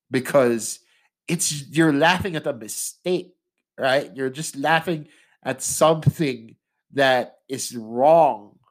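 A young Filipino man, a native English speaker, hosting a solo podcast and speaking in a conversational way, often tagging questions onto the ends of his sentences. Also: medium pitch. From Philippines. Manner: conversational, frequent tag questions, laughs mid-sentence. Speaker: podcast host. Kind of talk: solo opinion monologue.

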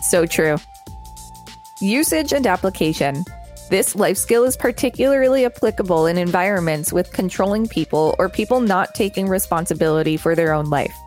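A woman's voice: fairly low pitch, expressive, normal speed, a little mellow, soft background music. A woman co-hosting a podcast, reading reference text aloud with casual commentary. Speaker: podcast co-host.

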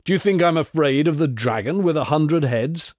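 A man's voice: medium pitch, big pitch swings, normal speed, darkish tone. A man voicing a bold, fearless hero of myth in a storybook, with a confident, declamatory manner. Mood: defiant.

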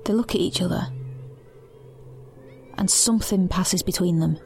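A woman's voice: lowish voice, normal speed, vocal fry. An actress playing an anxious, grieving teenage girl who narrates her own story in an intimate audio drama. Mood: uneasy. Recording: studio recording over soft music.

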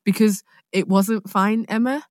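A woman with a London accent in casual conversation, telling a personal story in a candid, expressive way. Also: mid-range voice, unhurried, no echo, studio quality. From born in Nigeria, raised in London. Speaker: guest, actor.

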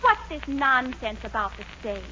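A woman's voice: high-pitched, sing-song, moderate pace, pushed and bright, exaggerated voice. An actress playing a bewildered, exasperated girlfriend in a 1940s radio comedy. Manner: incredulous, exasperated. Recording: very noisy, muffled 1940s radio recording.

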